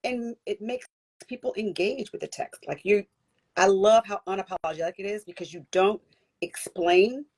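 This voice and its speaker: Husky-voiced enthusiastic woman host. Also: medium pitch, moderate pace, darkish tone. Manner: enthusiastic, affirming. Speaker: interview host. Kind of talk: casual interview conversation.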